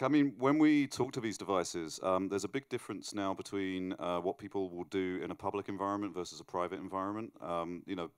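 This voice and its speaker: A man with a deep, very clear voice moderates a conference interview. His delivery is informal and conversational, and the sound is dry with little room around it. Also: no echo. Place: live on stage at a conference.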